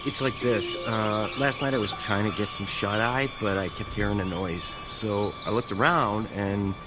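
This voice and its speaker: An actor playing a nervous, hesitant army private with a halting, folksy way of talking, in a radio drama. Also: male voice, on the low side, over loud music, natural voice. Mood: uneasy, hesitant.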